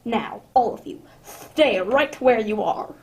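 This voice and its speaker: A woman's voice: a little high, lively intonation, takes it slowly. A woman playing a menacing, impatient criminal boss in a radio drama, curt and threatening. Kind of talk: amateur radio drama acting. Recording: faintly noisy amateur recording.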